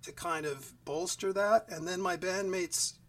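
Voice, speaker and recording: A man talking as a guest musician in a relaxed interview over a video call, in a quiet, even speaking voice. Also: sits high, a little slow, distant mic. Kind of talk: casual interview chat. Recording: voice set back from the mic.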